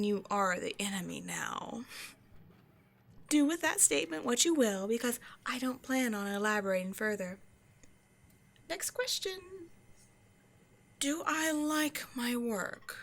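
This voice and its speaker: A woman's voice: mid-range voice, a bit bright. Woman acting a haughty, condescending vampire scientist. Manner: condescending, dry, measured, sighing. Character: cold, haughty century-old female vampire scientist, wryly menacing. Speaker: voice actor in an audio roleplay. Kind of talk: scripted ASMR roleplay monologue, speaking to a listener.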